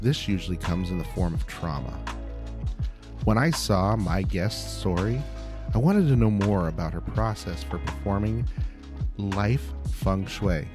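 A man hosting a podcast interview, reading a scripted opening and then chatting casually in an animated way with quick tangents. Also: low-pitched, music in the background. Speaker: podcast host.

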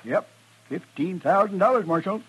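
An actor playing a gullible, excitable old rancher with folksy, rustic speech in an old-time radio western. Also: male voice, high voice, moderate pace, breathy edge, low-quality recording. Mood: gleeful. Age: older. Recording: vintage radio recording.

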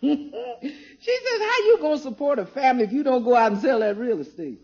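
A sassy American housekeeper and cook in vintage radio comedy. Her voice is high and very lively, frank and folksy. The sound is dull and dated. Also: fairly quick. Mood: teasing. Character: sassy, good-humoured woman housekeeper and cook. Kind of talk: 1950s radio comedy acting.

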